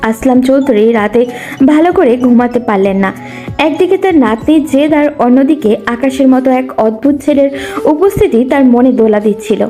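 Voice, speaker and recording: A woman's voice: high voice, warm tone. A woman narrating a scripted dramatic story.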